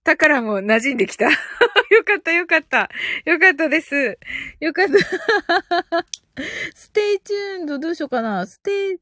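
A woman's voice: high voice, lively intonation, normal speed, pauses often, pushed and bright, no room sound, studio quality. A woman hosting a casual live audio stream, thinking aloud in a giggly, cheerful way as she chats and reads out comments.

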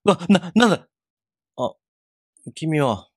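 A man's voice: a little high, sing-song, slow, breathy edge, no room sound, studio quality, full character voice. An actor playing a hapless, flustered, somewhat pathetic young man in an audio drama. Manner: flustered, casual. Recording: music behind the voice.

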